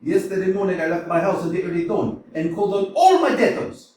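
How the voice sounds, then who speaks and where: A man's voice: sits high, lively intonation, a little fast. Smirnov, a man playing a blustering, irate landowner. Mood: exasperated. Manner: ranting, exclamatory, swinging between mutters and shouts. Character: irate middle-aged male landowner chasing a debt, blustering. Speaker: actor in a community staged reading. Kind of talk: staged play reading, theatrical. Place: small community theater stage. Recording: echoey theater from far off, faint hiss.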